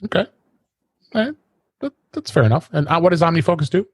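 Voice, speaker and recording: A man's voice: on the high side, sing-song, quick pace, breathy edge, no echo, studio quality. A man hosting a casual tech podcast, rambling along and often repeating words as he restarts his sentences. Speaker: podcast host.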